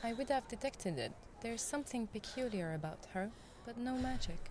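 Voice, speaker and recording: A woman playing a composed, observant magic user in a video game, speaking in a measured, formal way. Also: on the high side, animated, noisy background, close to an everyday voice. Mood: composed, curious. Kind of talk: video game cutscene acting. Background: background music.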